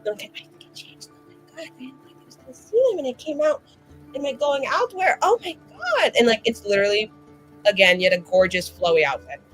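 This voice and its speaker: A woman playing a tabletop game, excitably describing her character's actions with swearing and many fillers. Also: fairly high pitch, fairly quick, faint music behind, rough recording. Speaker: player.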